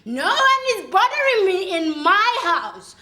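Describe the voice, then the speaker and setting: Woman acting a shrill, volatile, heartbroken young woman. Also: big pitch swings, fairly bright tone, full character voice. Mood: tipsy, defiant. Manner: melodramatic, tearful outbursts and drawn-out pleading. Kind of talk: dramatic acting monologue. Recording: echoey room.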